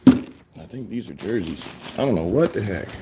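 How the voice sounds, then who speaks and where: A young man hosting a casual fan show, talking in slang and repeating himself when excited. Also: fairly low pitch, moderate pace, very noisy. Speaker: sports fan show host. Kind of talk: casual live-stream chat.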